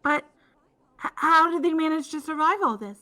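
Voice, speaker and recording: A woman's voice: high-pitched, normal speed, sharp tone. A woman voicing a nervous, stuttering teenage schoolgirl in a fan-voiced game. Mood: defensive. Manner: stammering, defensive.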